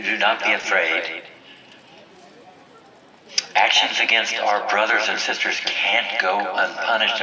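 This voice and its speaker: An actor playing a stern, solemn village leader giving measured, commanding oratory in an audio drama. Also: male voice, low-pitched, sharp tone, echoey room, distant mic, a little hiss or hum, heavily acted, low-quality recording. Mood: stern, coaxing. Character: stern, solemn village leader presiding over a memorial and judgment. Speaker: community leader. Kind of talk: formal speech in an audio drama.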